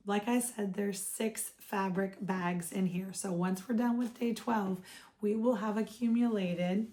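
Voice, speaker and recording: A woman hosting a casual craft vlog, her voice hoarse and froggy, chatting upbeat with viewers she calls friends. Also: medium pitch, a little mellow. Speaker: craft shop owner and vlog host. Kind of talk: casual show-and-tell vlog.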